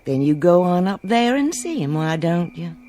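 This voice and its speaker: A woman's voice: lowish voice, very animated, moderate pace, mellow, a little hiss or hum. An actress playing a mysterious, mocking woman in an old television western, teasing as she talks. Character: taunting, mysterious Old West woman in graveyard. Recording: vintage TV soundtrack, faint music underneath.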